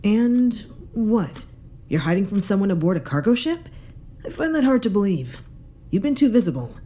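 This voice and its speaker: An actress playing a calm, firm detective inspector, measured and skeptical, in a science fiction audio drama. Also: female voice, mid-range voice, natural voice. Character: calm, firm, dry female detective inspector aboard spaceship.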